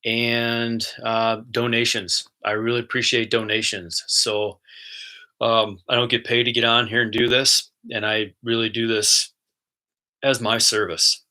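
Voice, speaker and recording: A man talking casually as a spiritual host, chatty and easygoing, then slow and soft when he guides a relaxation exercise. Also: medium pitch, little pitch movement. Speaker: spiritual host and intuitive channeler.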